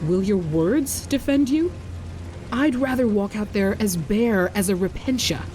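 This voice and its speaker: A woman playing a brash, sarcastic warrior sister in a fan audio drama, talking slowly and tersely. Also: mid-range voice, expressive, dark tone, natural voice. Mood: defiant. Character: brash young female warrior sister, defiant. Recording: rain and ambience noise behind.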